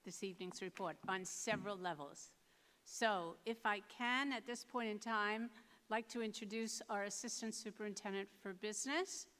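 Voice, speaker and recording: A woman's voice: mid-range voice, a bit bright, smooth. Woman superintendent, enthusiastic, long hall reverb. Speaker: school superintendent.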